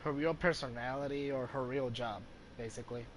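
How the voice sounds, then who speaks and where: A man's voice: mid-range voice, fairly even intonation, fairly quick. A man giving casual, hesitant gameplay commentary as a streamer, often searching for words. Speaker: game streamer. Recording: clearly noisy home recording with ambience.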